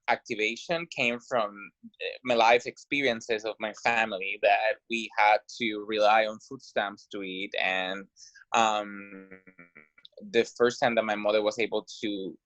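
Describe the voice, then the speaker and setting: Earnest man, low and dry. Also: a bit bright, no echo, lo-fi sound. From Puerto Rico. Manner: hesitant, many fillers and restarts, earnest. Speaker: guest, political candidate. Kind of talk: interview answers.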